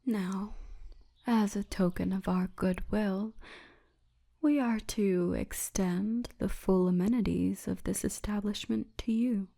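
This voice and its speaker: A woman voicing a big orc guardswoman, gentle, wry and commanding, in a hushed, breathy ASMR roleplay murmur. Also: mid-range voice, steady tone, takes it slowly. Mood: formal, teasing.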